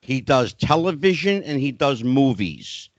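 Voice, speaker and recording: Excitable podcast host, dry dull sound. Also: male voice, medium pitch, measured pace, no echo. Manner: excitable, keeps interjecting. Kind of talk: casual podcast panel chat.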